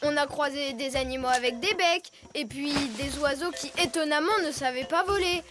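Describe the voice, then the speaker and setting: A woman's voice: high-pitched, moderate pace, lightly acted. A woman voicing a curious, friendly young male animal explorer in a French cartoon dub, eager and polite.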